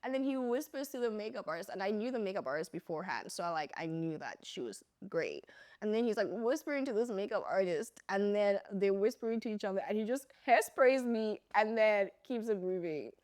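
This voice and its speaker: A woman's voice: medium pitch, expressive, brisk, a little mellow, dry recording. A woman hosting a podcast in casual chat, emphatic and blunt, laughing often. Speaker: podcast host.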